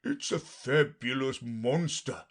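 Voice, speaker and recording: A man voicing a dreamy, haughty, boastful unicorn from a storybook in a drawn-out, grand manner. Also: deep voice, pushed and bright, heavily acted. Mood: eager. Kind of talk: storybook character reading.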